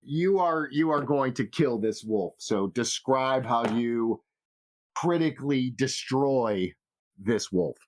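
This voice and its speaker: A man's voice: mid-range voice, animated, slow. A man running a tabletop fantasy game as game master, narrating the action.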